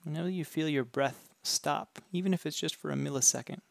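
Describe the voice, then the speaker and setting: A man with a gravelly, creaky voice leads a guided meditation, speaking calmly and gently. The recording is close and dry, with no room sound around him. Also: fairly high pitch, no room sound. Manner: calm, slow, gentle instructions.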